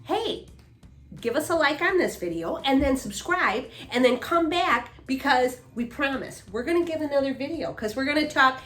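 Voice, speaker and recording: A woman's voice: sits high, lively intonation, moderate pace, a bit bright. A woman in her fifties co-hosting a casual chat, rambling with many restarts and fillers. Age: 50s. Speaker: co-host, mother. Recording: echoey room, faint music.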